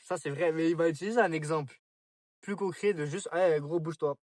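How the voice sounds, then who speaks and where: A nineteen-year-old man from France musing aloud to his viewers, with a slightly high voice. He talks in loose slang and keeps restarting his sentences. The sound is close and dry. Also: a little fast, a little mellow, no echo. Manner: slangy, rambling, many fillers and restarts. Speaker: vlogger. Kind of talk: casual spoken monologue.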